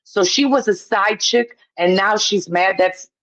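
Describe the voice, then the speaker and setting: A woman's voice: medium pitch, a little slow, a bit bright, muffled recording. A woman from Poland, chatting casually as an opinionated call-in guest, emphatic and repeating herself to make a point.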